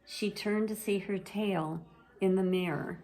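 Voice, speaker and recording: A woman storyteller reading a children's bedtime story aloud in a measured, expressive way. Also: mid-range voice.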